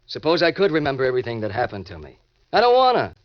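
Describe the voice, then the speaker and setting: An American actor playing a hard-boiled, cocky ex-convict obsessed with money in an old-time radio crime drama, talking in tough slang. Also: male voice, mid-range voice, wildly swooping pitch, fast talker. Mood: defiant, curt. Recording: dull vintage radio recording with music.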